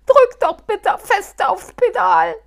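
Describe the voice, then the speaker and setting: A woman voicing a frantic, whiny little male gnome who keeps pleading in a high voice. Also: huge pitch swings, takes it slowly, full character voice. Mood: whining. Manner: pleading and panicky.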